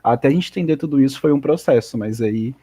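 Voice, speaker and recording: A man student replies to feedback from his professor. He explains how the group worked out the design, in a relaxed way. The sound is dry and close. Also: mid-range voice, quick pace, slightly rough, no room sound. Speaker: architecture student.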